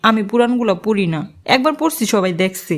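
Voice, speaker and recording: A woman's voice: on the high side, expressive, a little fast. A woman voicing a sulky, stubborn teenage daughter in a cartoon who talks back to her mother. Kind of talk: cartoon voice acting.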